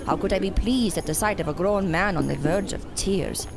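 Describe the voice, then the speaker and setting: An actress playing a proud, sharp-tongued mystic in an audio drama, with formal, old-fashioned diction. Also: female voice, medium pitch, moderate pace, gravelly, music in the background, close to an everyday voice. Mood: softening.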